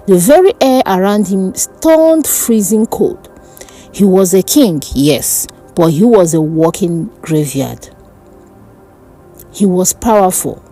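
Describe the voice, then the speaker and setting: A woman narrating a dark folk tale as a storyteller, in a deliberate, theatrical manner. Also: medium pitch, low-quality recording.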